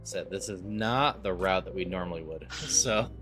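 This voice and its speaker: A man with a fairly low voice streams a video game, chatting in a relaxed way and slipping into quiet, thoughtful narration. Game music plays clearly behind him. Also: moderate pace. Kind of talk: casual gameplay chat and dramatic narration. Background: background music.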